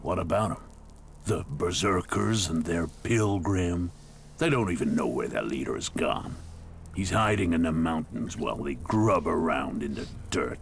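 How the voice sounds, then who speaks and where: A man's voice: deep voice, expressive, unhurried, gravelly, slight background noise, exaggerated voice. A man playing a ruthless gang boss who rules a wasteland town, menacing, boastful and blunt, in a video game. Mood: boastful, scornful.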